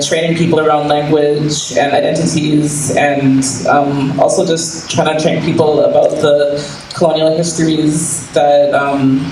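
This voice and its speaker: A man's voice: a little high, darkish tone. A man from Canada introducing himself informally as a panelist and education consultant. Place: indoor public panel event. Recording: echoey room, distant mic, noisy.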